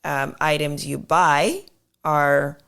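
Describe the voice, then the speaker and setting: A woman explaining accounting on a podcast, patiently walking through step-by-step examples with many fillers, her voice slightly hoarse. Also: sits low, fairly even intonation, slow, fairly bright tone. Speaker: accountant guest.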